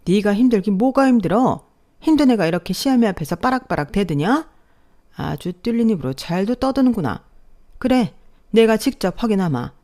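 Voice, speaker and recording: A woman's voice: mid-range voice, animated, normal speed, mellow, pitched above the performer's own voice, close to an everyday voice. A woman voicing a spiteful, sick older mother-in-law who scolds sharply and venomously. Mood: contemptuous.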